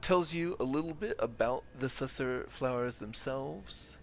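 A man's voice: mid-range voice, a little slow, a little hiss or hum. A man giving a casual, explanatory video game walkthrough commentary.

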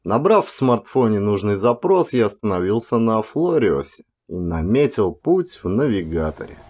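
Man narrating an audiobook with lively pitch swings. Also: moderate pace. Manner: expressive, acted-out storytelling. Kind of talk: first-person dramatic audiobook narration.

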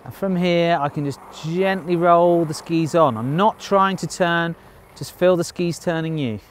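A man in his thirties teaches skiing out on a snowy slope, his voice sitting a little high. He explains plainly and upbeat, with the odd dry joke, into a clip-on mic. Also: unhurried, slight background noise. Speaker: ski instructor. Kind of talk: on-slope ski lesson. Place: outdoors on a snowy ski slope.

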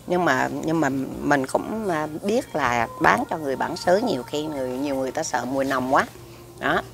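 Low, gravelly Southern Vietnamese woman. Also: animated, normal speed, music in the background. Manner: chatty, many fillers, repeats words. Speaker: food vlogger. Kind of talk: casual food review to camera. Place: restaurant table by a window, indoors. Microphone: camera across the table.

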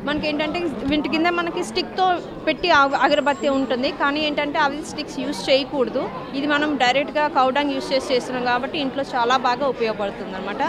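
A woman vendor giving an eager sales pitch for her products into a handheld mic. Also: fairly even intonation, fast talker. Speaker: stall vendor. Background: crowd chatter.

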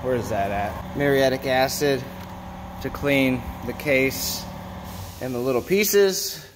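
A young man chatting casually in a garage, explaining his repair plan in a relaxed, matter-of-fact way. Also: mid-range voice, slow, background noise. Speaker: garage vlogger. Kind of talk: casual chat while working. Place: shop and open garage bay. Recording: music under voice.

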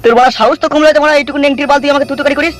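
A man's voice: extremely high pitch, steady tone, very fast, a bit bright, soft background music. A man voicing a pompous, menacing, indignant visitor in a comedy cartoon. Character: pompous, menacing cartoon visitor throwing his weight around.

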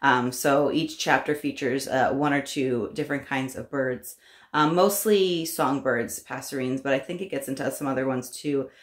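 A woman in her thirties with a distinctly low voice speaks to camera in a casual vlog style. She is conversational, hedging and rephrasing as she makes recommendations. The room around her adds a noticeable echo. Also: moderate pace. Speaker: homeschooling parent vlogger. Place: small room at home, indoors. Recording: roomy indoor echo.

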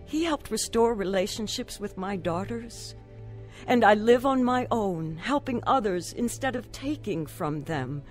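A woman's voice: medium pitch, expressive, measured pace, faint music behind, close to an everyday voice. An actress playing a troubled, earnest woman telling her story of faith in a radio drama. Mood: grateful, testifying. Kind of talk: radio drama acting and first-person narration.

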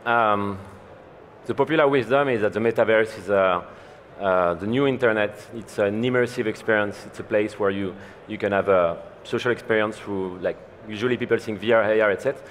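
Low-voiced man explaining in a reverberant hall. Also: a little fast, a little hiss or hum. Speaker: panelist. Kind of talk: conference panel discussion. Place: large conference hall stage. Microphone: headset mic.

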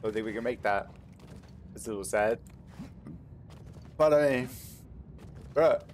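A man's voice: medium pitch, unhurried. A man giving casual, playful, slangy commentary while he plays a video game. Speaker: gaming content creator. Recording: game noise behind the voice. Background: video game sounds.

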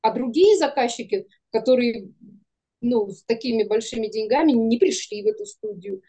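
A woman's voice: fairly high pitch, lively intonation, moderate pace, vocal fry, warm tone, breathy edge. A woman in her forties chatting candidly and with self-deprecation as an interview guest on a video call. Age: 40s. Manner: candid, self-deprecating, laughs while talking. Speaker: guest, animation studio producer. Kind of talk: casual interview chat. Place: indoor room, video call.

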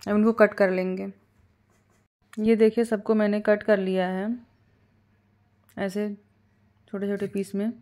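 A woman calmly talking through a home cooking recipe step by step, with small hesitations. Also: mid-range voice, measured pace, long pauses. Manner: calm step-by-step instructions with small fillers. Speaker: cooking channel host. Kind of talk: home cooking tutorial voice-over.

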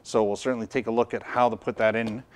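A man's voice: on the low side, little pitch movement, quick pace, clean tone. Middle-aged man presenting a product demo. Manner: explanatory, conversational. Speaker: product reviewer and video host. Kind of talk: casual product demonstration. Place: indoor studio workshop at a tabletop.

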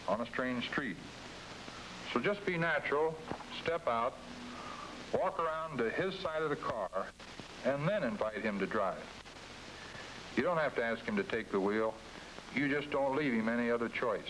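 A man's voice: medium pitch, a bit bright, low-quality recording. Middle aged american guy doing 50s sales training narration, folksy and direct, bit of hiss on old film. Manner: folksy, direct second-person coaching. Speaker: company executive presenting a dealer training film. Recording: hissy 1950s film soundtrack.

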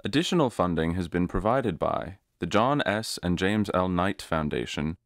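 A man's voice: deep voice, expressive, moderate pace. A man with an American accent reading a scripted sponsor credit as an announcer. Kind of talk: scripted narration. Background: instrumental music.

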